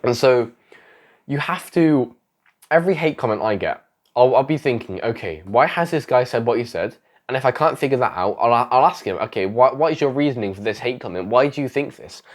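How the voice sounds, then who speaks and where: A man's voice: mid-range voice, animated, fairly quick. A young man talks straight to camera, offering advice to fellow creators. He is sick and his voice is going, and he rambles and repeats himself, restarting sentences as he goes. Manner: rambling, self-correcting, repeats phrases. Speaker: YouTuber giving advice. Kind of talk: casual talking-head advice vlog.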